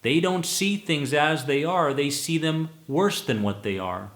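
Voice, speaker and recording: A man coaching listeners through anxiety speaks slowly and deliberately, leaning hard on repeated words. His fairly high voice rises and falls a great deal as he presses each point. The sound carries the echo of a reverberant room. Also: animated, fairly bright tone. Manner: emphatic, repeats words for stress, slow deliberate delivery. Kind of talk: motivational talk to listeners.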